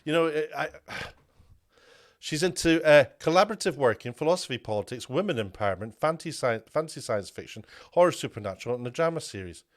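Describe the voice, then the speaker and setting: Man, slightly high pitch, indignant commentary rant, lots of restarts and repeats. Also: animated, fairly quick. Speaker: media commentator. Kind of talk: ranting commentary video.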